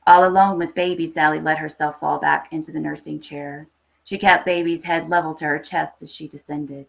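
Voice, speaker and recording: A woman reading a literary horror short story aloud with expressive, scripted narration. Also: deep voice, normal speed, gravelly, rough recording. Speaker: story reader.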